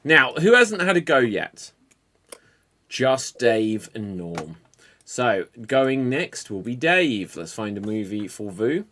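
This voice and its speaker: Slow-talking bright male improv host. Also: mid-range voice, sing-song. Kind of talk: hosting an online improv show.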